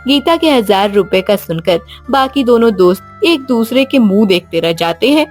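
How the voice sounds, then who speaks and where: A woman's voice: a little high, expressive, normal speed. A woman narrator reading a scripted moral story for young listeners. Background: background music.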